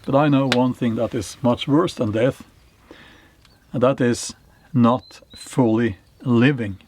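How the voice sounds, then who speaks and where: Slow-spoken man with a Norwegian accent. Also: mid-range voice, fairly even intonation, a little mellow, a little hiss or hum. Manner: slow and deliberate, with pauses and fillers. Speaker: channel host, historical novelist. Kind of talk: reflective monologue to camera. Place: small cave in a forest.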